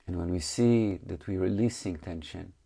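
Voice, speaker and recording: A man leading a guided meditation as a teacher, calmly instructing in short phrases with long pauses and slightly non-native English phrasing. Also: sits low, creaky, breathy edge.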